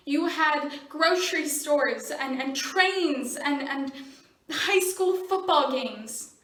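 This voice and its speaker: A young American woman playing an earnest, halting teenage daughter pleading with her mother in a dramatic monologue. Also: fairly high pitch, fairly even intonation. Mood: frustrated, pleading. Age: teenager or young adult. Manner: halting, earnest, nervous laughs. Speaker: student actor. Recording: roomy echo, distant microphone.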